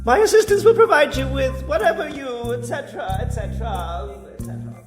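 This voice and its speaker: Pompous alien crown prince for radio drama: high, swooping voice, grandiose and rambling, music underneath. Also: male voice, lively intonation, fairly quick. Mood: grand, rambling. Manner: formal, grandiose, trailing off mid-speech. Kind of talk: radio play acting. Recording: music behind the voice.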